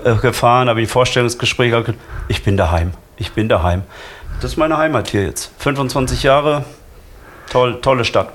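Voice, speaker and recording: A middle-aged man from Franconia, a carnival club member, talking casually with many fillers. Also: medium pitch. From Lower Franconia, Germany. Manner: rambling, hesitant with many fillers. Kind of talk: casual chat.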